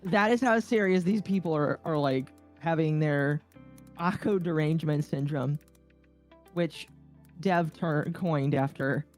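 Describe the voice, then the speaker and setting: A woman's voice: low voice, measured pace, darkish tone. A woman chatting casually as a podcast co-host, with a teasing, mock-serious manner. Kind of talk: casual chat. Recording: background music under voices. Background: background music.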